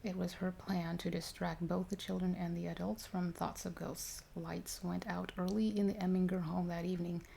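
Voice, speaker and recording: A woman reading a ghost story aloud in a hushed, measured ASMR style, with occasional stumbles. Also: lowish voice, little pitch movement, creaky, slight background noise. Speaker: story reader.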